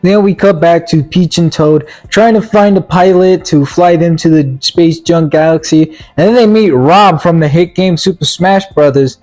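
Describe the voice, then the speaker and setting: A man giving a casual, rambling movie review in a halting, stammering way, with a sarcastic, mock-earnest streak. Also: fairly high pitch, darkish tone, soft background music, rough recording. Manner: halting, stammering delivery with repeated words. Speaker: video reviewer.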